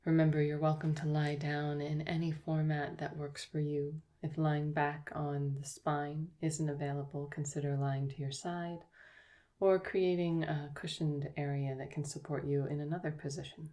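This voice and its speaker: A woman yoga teacher guiding a yoga nidra relaxation, speaking slowly and softly with long pauses. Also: low voice, little pitch movement. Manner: slow, soft, soothing guidance with long pauses.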